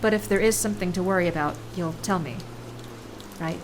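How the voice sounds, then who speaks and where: An actress playing an independent, easily exasperated young adult daughter in an audio drama, natural, impatient and wry. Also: female voice, lowish voice, a little fast, close to an everyday voice. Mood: concerned. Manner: impatient, sarcastic. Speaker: actor. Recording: clearly audible rain noise behind voice.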